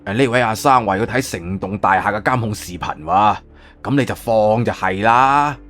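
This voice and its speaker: 一個男人用粵語有聲小說嘅演法，扮演一個慌張、囉嗦、急於安撫人嘅博物館館長，講嘢猶豫多口頭禪。. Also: male voice, on the low side, moderate pace, fairly bright tone, soft background music, natural voice. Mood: obliging. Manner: hesitant, filler-laden, long-winded. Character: flustered, wordy museum director, eager to placate. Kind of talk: Cantonese audiobook character acting.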